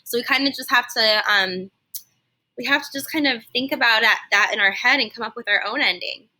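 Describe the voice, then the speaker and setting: Bright-voiced woman reading to young children. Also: on the high side, fairly quick, rough recording. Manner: animated, simple explanations addressed to young children. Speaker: teacher reading a picture book aloud. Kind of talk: expressive children's read-aloud with explanatory asides.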